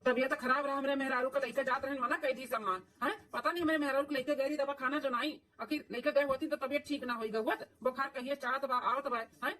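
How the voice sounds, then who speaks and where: A woman voicing an aggrieved, high-pitched Awadhi village husband. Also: fairly even intonation, fast, hardly any pauses, sharp tone, distant mic. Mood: indignant. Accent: Awadhi, eastern Uttar Pradesh. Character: aggrieved village son, adult man, protective of his wife. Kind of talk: comedy cartoon family drama voice acting.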